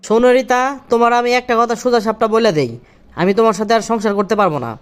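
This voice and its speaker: A man's voice: extremely high pitch, racing, clear, resonant tone. A man playing an irritable, scornful two-timing husband and office boss in a cartoon drama, curt in manner. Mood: harsh, angry. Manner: scolding, curt.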